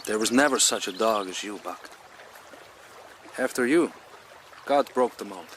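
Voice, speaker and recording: An actor playing an earnest, tender-hearted gold prospector full of wonder, in expressive radio drama style with short phrases. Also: male voice, lowish voice, unhurried, a little hiss or hum. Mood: tender, awed.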